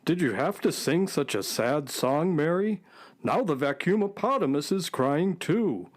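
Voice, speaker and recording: A woman's voice: low-pitched, lively intonation, measured pace, a little hiss or hum. A woman playing a practical, wry talking space-horse sidekick in a children's audio drama. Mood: wry, exasperated.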